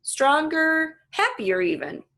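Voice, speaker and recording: A woman's voice: high voice, big pitch swings, slow, bright, forceful tone, pitched above the performer's own voice, exaggerated voice. A woman voicing a kind, encouraging father in a friendly manner for a children's story. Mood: pleased.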